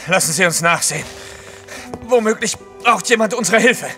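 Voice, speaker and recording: Knapper Kaufmann mit heller Stimme. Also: male voice, high voice, lively intonation, normal speed, pushed and bright, faint music behind, exaggerated voice. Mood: firm. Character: blunt, proud Russian merchant travelling east. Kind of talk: audio drama acting.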